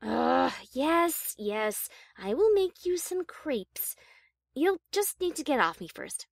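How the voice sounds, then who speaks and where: A woman voicing a prim, haughty high-class pony in an audio story, speaking with refined diction and dry formality. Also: very animated, slow, vocal fry, no room sound, heavily acted. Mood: exasperated. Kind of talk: scripted audio story character acting.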